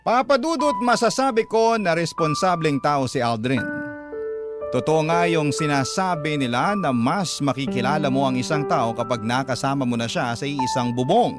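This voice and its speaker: A man narrating a love-letter confession for a radio drama, measured and earnest. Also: a little high, lively intonation, natural voice. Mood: reflective. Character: letter writer recounting a troubled live-in romance. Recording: loud music bed behind.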